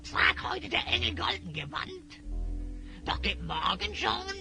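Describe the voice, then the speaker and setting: Casting: a fawning, scheming hyena-man, slow rhyming delivery with sharp bright edge, audio drama with music underneath. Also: extremely high pitch, very animated, gravelly, exaggerated voice. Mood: sly, boastful. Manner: speaks theatrically in rhyme, obsequious. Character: sly, flattering hyena-headed male spy, two-faced schemer. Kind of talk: German fantasy audio drama acting.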